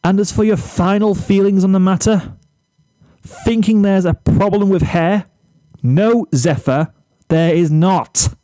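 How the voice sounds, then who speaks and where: A man reading a storybook character, an exasperated, blunt teenage boy who speaks firmly and deliberately. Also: sits high, warm tone, dry recording, voice pushed up. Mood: angry, emphatic. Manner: firm, emphatic, deliberate.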